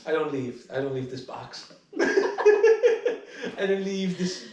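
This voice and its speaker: A man's voice: a little high, takes it slowly, recorded from a distance. A young man hosting a podcast in casual, joking, self-mocking chat. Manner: jokey, self-mocking, laughs through his sentences. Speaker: podcast host. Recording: roomy echo.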